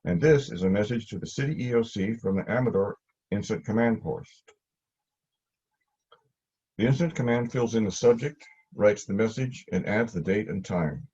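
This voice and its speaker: A male instructor with a mellow, husky voice reads prepared teaching material in a plain, measured manner. The delivery is instructive and straightforward. The recording is dull and lo-fi. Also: fairly low pitch. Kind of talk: scripted instructional narration. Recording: dull, lo-fi audio.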